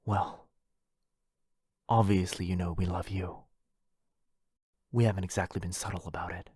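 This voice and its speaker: A man's voice: deep voice, steady tone, normal speed, a little mellow, breathy edge, no echo, studio quality. A man playing a lovestruck, possessive, centuries-old vampire brother in a boyfriend roleplay, tender and pleading. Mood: tentative hope.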